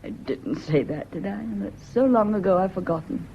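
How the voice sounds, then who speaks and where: A woman's voice: medium pitch, lively intonation, moderate pace, gravelly, mellow. Haunted, anxious woman, brooding dramatic delivery, radio drama, muffled recording, faint noise. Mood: wistful. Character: anxious, haunted woman in a secret love affair. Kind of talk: stage-style radio drama acting.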